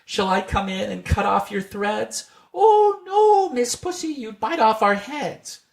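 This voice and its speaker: A tiny, merry storybook mouse, acted out by an adult narrator, pitched far up into a small twittering voice. The delivery is singsong and cheeky, like nursery rhymes being chanted. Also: sing-song, unhurried, creaky. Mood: cheeky, teasing. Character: chorus of tiny merry, cheeky little mice singing rhymes.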